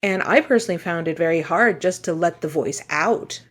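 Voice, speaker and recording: A woman co-hosting a singing podcast, earnest and explanatory in tone. Her speaking voice sits a little low for a woman. She shifts from a prepared introduction into easy chat. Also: brisk. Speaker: podcast co-host. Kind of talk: scripted intro, then casual chat.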